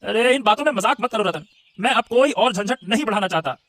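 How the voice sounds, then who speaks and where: A man's voice: high-pitched, lively intonation, brisk, a bit bright. A man voicing a worried, defensive shopkeeper in a Hindi cartoon, speaking hesitantly. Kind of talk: Hindi moral-story cartoon voice acting. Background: music.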